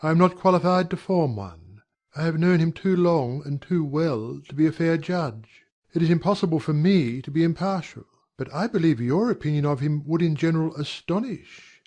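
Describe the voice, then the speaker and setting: A man playing a courteous, guarded young English gentleman in a dramatized audiobook, speaking in measured, formal phrases as if confiding. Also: on the high side, close to an everyday voice.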